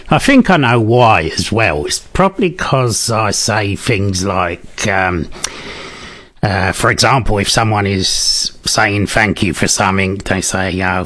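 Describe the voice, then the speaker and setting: A man's voice: on the low side, fairly bright tone, dry recording. A man with a London-area English accent presenting a music show in a chatty, musing, conversational way. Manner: conversational, musing, tag questions. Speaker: radio presenter.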